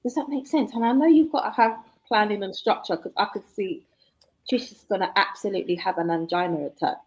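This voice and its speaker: Woman, forties, chatty guest, laughs a lot, mid pitch. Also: a little mellow, rough recording. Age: 40s. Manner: chatty, laughs often, quick asides. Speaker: guest author. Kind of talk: casual interview chat.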